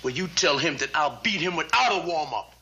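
A brash, boastful young catcher in a 1970s sitcom. His voice sits high and swings widely, with a bright, gravelly edge. He argues stubbornly and never backs down. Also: animated, brisk. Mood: arguing. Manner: brash, argumentative. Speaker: actor playing a cocky young baseball catcher.

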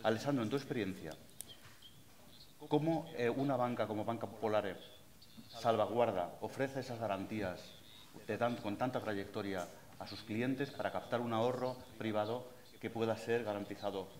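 A man moderating a seminar panel, formal and measured as he thanks speakers and asks questions. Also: lowish voice, darkish tone.

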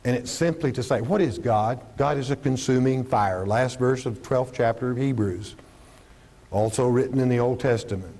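A man's voice: medium pitch, moderate pace. An older man preaching on a studio stage, teaching a televised Bible study with a lapel mic, asking himself questions and answering them. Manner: animated, gestures constantly while teaching. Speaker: pastor. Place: studio stage with podium and curtain.

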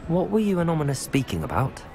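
An actor playing a polite, cautious young student wizard in a video game, with measured wording. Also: male voice, fairly high pitch, huge pitch swings, vocal fry, warm tone, exaggerated voice. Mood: concerned. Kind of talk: video game dialogue acting. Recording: music under the voice.

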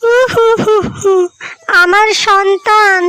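Cartoon mother in grief, sobbing and wailing, voiced by a woman; very high pitch. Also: fairly even intonation, extremely slow, bright, forceful tone, no room sound, exaggerated voice. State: crying. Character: grieving young mother, heartbroken.

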